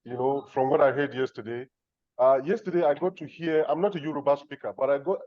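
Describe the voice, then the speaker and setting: A middle-aged man from Nigeria giving measured, reflective remarks as a discussant on an academic conference panel. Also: medium pitch, no room sound, muffled recording. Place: conference room.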